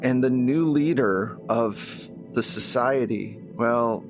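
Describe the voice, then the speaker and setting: Haunted, regretful nun, voiced by a male game master, faltering and unsure, for a tabletop story with background music. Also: mid-range voice. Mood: regretful, confessing. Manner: halting, faltering with repeats. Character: confused, regretful nun haunted by shadowy memories.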